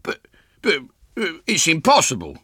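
A man's voice: medium pitch, big pitch swings, very slow, pushed and bright, dry recording, clean studio sound, voice pushed up, heavily acted. A man voicing a flustered middle-aged father in a storybook, blustering and hesitant. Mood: amazed.

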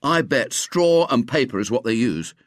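A man's voice: medium pitch, expressive, moderate pace, no echo, clean studio sound, lightly acted. A man voicing a brash, confident schoolboy ringleader, eager and breezy.

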